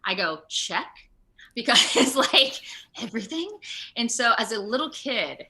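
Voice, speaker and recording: An American woman talking casually in an interview over a video call, with an animated, rambling, self-deprecating manner. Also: fairly high pitch, a little slow. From Ohio, United States. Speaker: actor being interviewed.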